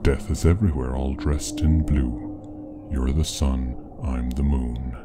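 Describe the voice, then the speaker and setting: A man's voice: extremely low pitch, steady tone, slow pace. A man playing a menacing, imperious fiend in a radio play, speaking in a taunting, commanding manner. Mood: coldly taunting. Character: menacing, imperious male fiend of great power. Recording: music under the voice. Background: music.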